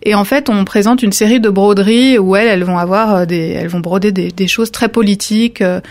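A woman's voice: medium pitch, fairly even intonation, fairly quick, darkish tone. A woman who works at an art gallery's reception, explaining exhibitions in a casual conversation with many fillers. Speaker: museum visitor services staff.

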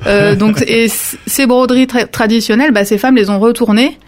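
Woman explaining, many pauses and fillers. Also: a little high, steady tone. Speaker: museum visitor services staff. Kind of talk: casual conversation.

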